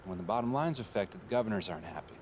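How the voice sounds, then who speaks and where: A man plays a polished marketing executive who lives by data and speaks in tidy corporate phrasing. His voice sits on the lower side. He is a figure from a game cutscene. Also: expressive, rapid-fire, darkish tone, a little hiss or hum, close to an everyday voice. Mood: businesslike. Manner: smooth, data-driven corporate talk. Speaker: head of brand and marketing. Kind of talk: video game cutscene dialogue.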